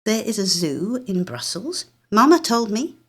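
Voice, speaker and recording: A woman's voice: mid-range voice, very animated, a little slow, slightly rough, pitched above the performer's own voice. A woman voicing a timid, squeamish younger sister, a little girl whispering anxiously in a short story. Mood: anxious, pleading.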